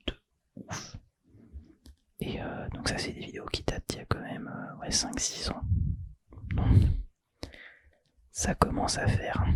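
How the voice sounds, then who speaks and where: A man's voice: fairly bright tone, dry recording. A young man whispering a casual, slangy chat for an ASMR channel, close to the mic. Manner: casual and slangy, self-deprecating. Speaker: ASMR YouTuber.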